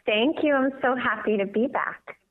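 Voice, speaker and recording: A woman's voice: fairly high pitch, normal speed. A woman speaking earnestly as an interview guest, explaining her work as a voice coach over a phone. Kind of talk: conversational radio interview. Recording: tinny, narrow phone line.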